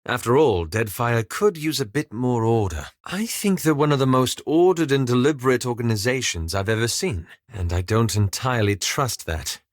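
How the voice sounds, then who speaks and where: An actor playing a reserved, scholarly male fantasy adventurer in a video game, speaking formally and articulately with measured, wry understatement. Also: medium pitch, expressive, no echo, clean studio sound, lightly acted. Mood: concerned, grave. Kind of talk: scripted video game character dialogue.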